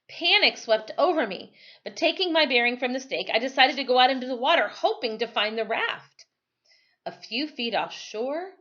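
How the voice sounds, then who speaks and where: A woman reading a novel aloud as a storyteller, with careful, dramatic phrasing and expressive narration. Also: on the high side. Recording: dull, lo-fi sound.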